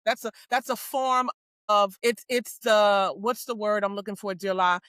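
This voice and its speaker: Woman host, bright forceful voice, emphatic, dry close sound. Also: medium pitch, a little slow, smooth, no echo. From United States. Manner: emphatic, keeps asking "right?". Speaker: podcast host. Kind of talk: casual faith-based discussion.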